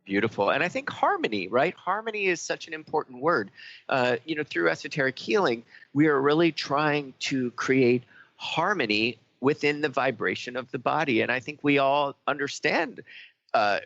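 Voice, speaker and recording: Guy hosting a chatty spiritual radio show, creaky voice, bit muffled sound. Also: mid-range voice, expressive, moderate pace, a bit bright. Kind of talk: casual spiritual talk show conversation.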